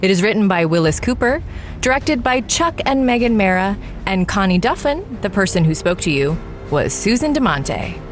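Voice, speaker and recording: A woman's voice: medium pitch, sing-song, moderate pace. Female credits announcer: mid-range, very varied intonation, scripted read over faint music.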